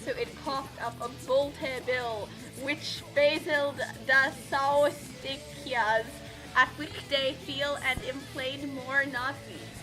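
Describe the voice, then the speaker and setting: A woman's voice: sits high, fairly even intonation, slow pace, poor audio. A woman giving a theatrical, exclamatory comedic reading of a story as co-reader. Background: music.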